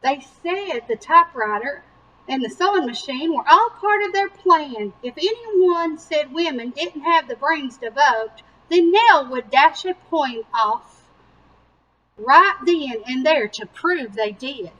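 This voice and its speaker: Woman, high-pitched, very leisurely pace, expressive storybook read-aloud, emphatic words, simple asides for children. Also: slow pace, dull audio. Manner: animated emphasis with simple explanatory asides for young listeners.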